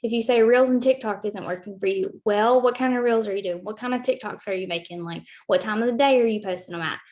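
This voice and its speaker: A woman's voice: medium pitch, fast, a little mellow. A woman, giving a casual, earnest motivational talk to her team on a video call and leaning heavily on "like.". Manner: casual, heavy "like" filler, earnest. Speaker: team leader.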